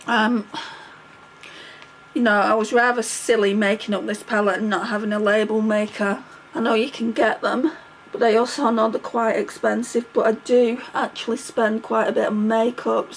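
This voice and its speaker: A woman's voice: a little high, a little hiss or hum, rough recording. Chatty stuffy-nosed woman. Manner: chatty, rambling, apologetic. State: stuffy nose from a cold. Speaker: beauty vlogger. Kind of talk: casual chat while doing makeup.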